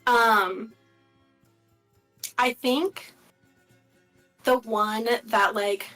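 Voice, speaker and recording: A woman's voice: on the high side, steady tone, extremely slow, sharp tone, soft background music. A woman from Latin America, a guest in a casual interview, talking candidly and reflectively with many filler words.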